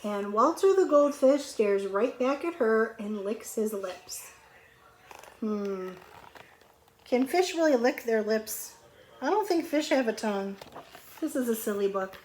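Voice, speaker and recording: A middle-aged woman reads a picture book aloud to young children, pausing to explain simply and ask questions in a conversational way. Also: fairly high pitch, animated, a little mellow. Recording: clearly noisy home recording.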